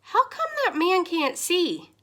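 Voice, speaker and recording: A woman's voice: extremely high pitch, sing-song, slow pace, pushed and bright, pitched above the performer's own voice, full character voice. A woman voicing a curious young boy who keeps asking complaining questions. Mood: questioning. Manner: whiny questioning tone.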